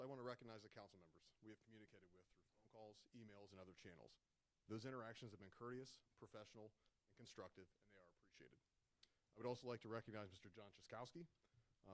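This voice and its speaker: Fast-talking man reading a formal statement. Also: fairly low pitch, rough recording. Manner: formal, reads from prepared remarks, loud voice. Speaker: resident speaking at public comment. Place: council chamber. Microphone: podium microphone, partly dead.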